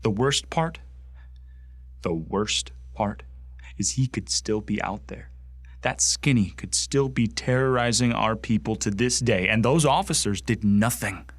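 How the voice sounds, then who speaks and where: An actor playing a bitter, bigoted young man who wants to join a space marine corps, with an intense, indignant delivery and heavy pauses in a radio drama monologue. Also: medium pitch, no room sound, natural voice. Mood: angry, grieving. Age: young adult.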